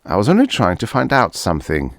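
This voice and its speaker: Man acting an anxious, excitable young widower. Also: on the low side, quick pace, creaky, no room sound, studio quality, voice pushed up, heavily acted. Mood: defensive. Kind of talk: vintage science fiction audiobook character.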